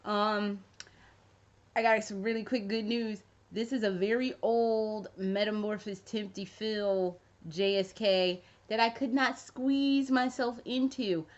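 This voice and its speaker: A woman's voice: medium pitch, fairly even intonation, slow pace. Profane rambling woman vlogger. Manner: rambling, profane, with jokey asides. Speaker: fashion vlogger. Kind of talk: casual chat to camera. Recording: dull lo-fi recording.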